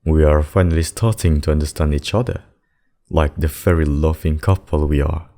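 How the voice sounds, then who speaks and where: A man voicing a possessive demon king and lover in a scripted ASMR roleplay, speaking deliberately and intimately. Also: a bass voice, steady tone, warm tone. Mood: smug, possessive. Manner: slow, deliberate, intimate addresses with pet names. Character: possessive demon king, menacing abductor turned tender secret lover.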